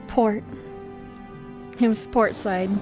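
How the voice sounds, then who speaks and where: An actress playing a determined scholarly adventurer in an audio drama, terse and resolute. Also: female voice, fairly high pitch, steady tone, drawn-out words, music in the background. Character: determined fantasy heroine, a scholarly female adventurer.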